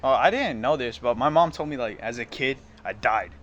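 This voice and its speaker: A young man telling stories in casual chat, animated and slangy, laughing as he talks. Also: medium pitch, brisk, lo-fi sound. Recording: echoey space, faint noise.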